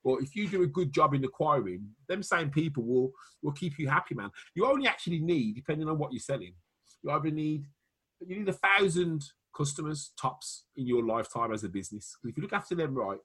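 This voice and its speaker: A man's voice: a little high, expressive, a little fast, a bit bright, husky. A man with a Welsh accent talking business in a casual video call, chatty, laughing often and dropping punchy asides. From Wales. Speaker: marketing consultant.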